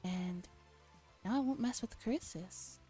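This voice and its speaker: A woman with a mellow, relaxed voice streams a visual novel as ASMR. She reads dialogue softly and drops into casual commentary. Quiet music plays behind her. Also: mid-range voice, slow.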